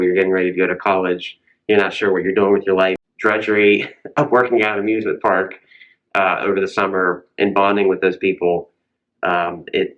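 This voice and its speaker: Deep voiced guy casually recommending movies, kinda halting, sound is a bit dull. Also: fairly bright tone. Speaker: show co-host.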